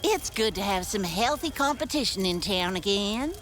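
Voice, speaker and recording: A woman's voice: mid-range voice, animated, moderate pace, a bit bright, a little hiss or hum, lightly acted. A woman playing a sweet but scheming old lady baker in an audio drama, genteel, fussy and theatrical. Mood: sweetly folksy. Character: sweet old lady baker hiding villainy, easily affronted. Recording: music behind the voice.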